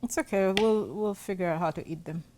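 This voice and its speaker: A woman's voice: mid-range voice, a little fast, background noise. A woman from western Uganda, hosting a casual cooking-show chat and eagerly asking lots of short questions. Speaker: host.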